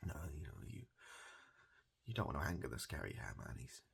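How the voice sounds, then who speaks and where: A soft-spoken, breathy British man improvising an ASMR comedy roleplay as a barber, rambling hesitantly with deadpan absurdity, repeated phrases and swearing. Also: very deep voice, a little fast, slight background noise.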